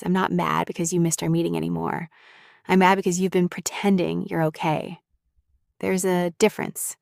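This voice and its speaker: Brief: a woman playing a sharp-tongued young bully, lowish voice, dry close recording, scolding with worry underneath. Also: vocal fry, no room sound. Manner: scolding and blunt, then anxious and tender. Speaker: voice actor in an audio roleplay. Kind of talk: scripted audio roleplay.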